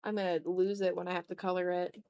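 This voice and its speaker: A young adult woman chatting casually on an art livestream, animated and slangy, with a self-deprecating way of talking. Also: fairly low pitch, fast talker, clean tone. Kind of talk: casual livestream chat while drawing.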